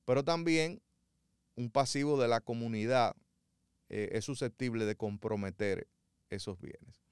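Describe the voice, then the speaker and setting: A man giving measured, didactic legal commentary, pausing often with fillers as he explains. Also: mid-range voice, clear, resonant tone, dry recording, studio quality. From Dominican Republic.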